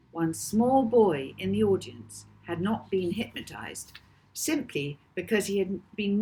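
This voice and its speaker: A woman author reading her children's novel aloud as expressive storybook narration, with deliberate phrasing and dramatic emphasis. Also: on the low side, slight background noise, poor audio.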